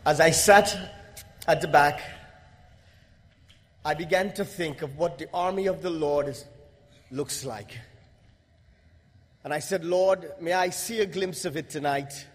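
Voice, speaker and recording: A young man from Guyana giving a religious testimony to an audience, in a measured, emphatic delivery with pauses. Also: on the high side, slightly rough. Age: young adult. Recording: long hall reverb.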